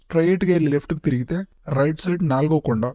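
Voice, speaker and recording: A man's voice: a little high, rapid-fire, dark tone, dry recording, lightly acted. A man voicing a scheming royal servant in a cartoon parody, blunt and matter-of-fact. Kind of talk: animated parody comedy voice acting.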